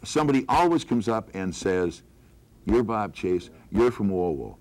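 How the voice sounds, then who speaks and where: A man's voice: on the low side, expressive, normal speed, a little mellow, poor audio. An older American man, a veteran broadcaster, reminiscing in a group conversation. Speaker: veteran radio announcer and hockey broadcaster.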